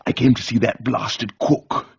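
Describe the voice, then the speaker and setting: A man's voice: mid-range voice, steady tone, unhurried, creaky, slightly rough, no room sound, lo-fi sound, full character voice. A man voicing a forthright, blustering Englishman in love, speaking emphatically with an English accent in a radio reading. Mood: grumbling.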